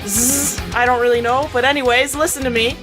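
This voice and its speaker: High-pitched woman, rambling, theatrical comedy improv, clear music behind her. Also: fairly even intonation, brisk. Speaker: panelist on a comedy podcast. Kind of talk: improvised comedic role-play.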